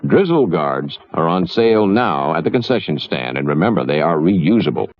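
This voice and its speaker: A man's voice: sits low, sing-song, moderate pace. A man narrating a vintage instructional drive-in advertisement. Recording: dull, slightly noisy old promo.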